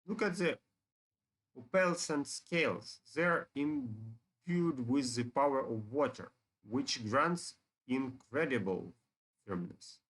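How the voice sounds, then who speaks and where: An ancient elder dragon from a fantasy game, read out by a man, mad with greed and full of pride. He speaks slowly and imperiously, threatening those beneath him. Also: medium pitch, lively intonation, fairly bright tone, poor audio. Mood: threatening, boastful.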